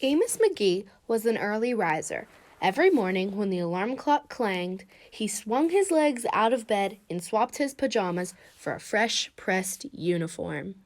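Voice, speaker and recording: A woman reading a children's picture book aloud, expressive and warm, with a bright lift when she greets the listeners. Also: mid-range voice, unhurried. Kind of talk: storybook read-aloud for kids.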